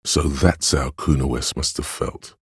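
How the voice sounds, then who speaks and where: An actor playing a grave, compassionate inner voice of empathy in a game, narrating in a measured, solemn and tender way. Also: male voice, very deep voice, steady tone, husky, no echo, clean studio sound, full character voice. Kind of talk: dramatic game narration, second person.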